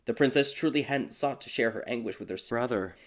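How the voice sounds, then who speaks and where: A man giving a theatrical, scripted narration of a dark fairy tale as an audiobook. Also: mid-range voice, fast talker. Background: music.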